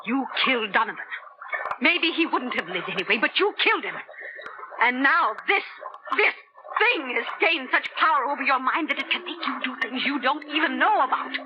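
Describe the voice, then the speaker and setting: An actress playing a scientist's anguished, pleading wife in a 1940s radio horror drama, earnest and melodramatic. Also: female voice, high-pitched, expressive, moderate pace. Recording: muffled, noisy vintage 1940s radio broadcast.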